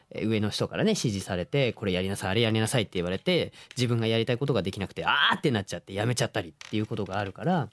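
Male radio co-host, mid pitch with lively swings, dry studio sound, gentle encouraging conversational delivery. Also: no echo. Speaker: radio show host, voice actor. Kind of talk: casual radio advice chat.

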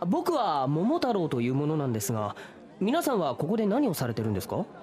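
A man's voice: on the high side, wildly swooping pitch, darkish tone, a faint crowd. A man playing an exasperated, earnest young folk-tale hero in a comic radio drama, protesting and pleading. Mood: puzzled. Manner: whiny, pleading, quick to protest.

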